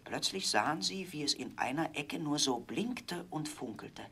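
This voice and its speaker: A man narrating a scripted fairy tale for children in a measured, dramatic storytelling manner. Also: on the high side, expressive, pushed and bright, slightly rough.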